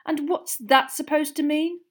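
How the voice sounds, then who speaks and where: A woman voicing an indignant, defensive teenage witch girl with a shrill, emphatic manner for an audiobook. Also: very animated, normal speed.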